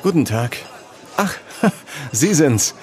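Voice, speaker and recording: An actor playing a polite, slightly flustered restaurant waiter in an audio drama. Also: male voice, a little high, big pitch swings, takes it slowly, darkish tone, some chatter behind. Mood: polite, busy. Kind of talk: naturalistic audio drama acting.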